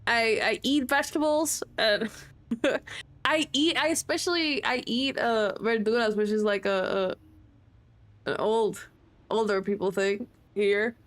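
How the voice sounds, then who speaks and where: Chatty young woman streamer, slightly high voice. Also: measured pace, fairly bright tone. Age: late 20s. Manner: chatty, self-mocking, swearing freely. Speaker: game streamer. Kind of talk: casual livestream chat.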